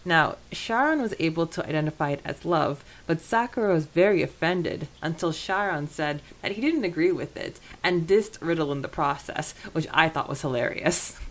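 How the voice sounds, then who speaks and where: Low-pitched woman, casual podcast co-host. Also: normal speed, hardly any pauses, low-quality recording. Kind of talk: casual recap and discussion.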